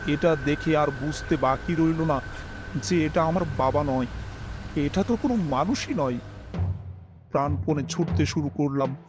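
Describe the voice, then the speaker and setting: A man's voice: a little high, unhurried, long pauses. A man reading a scripted first-person horror story as its narrator. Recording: music behind the voice.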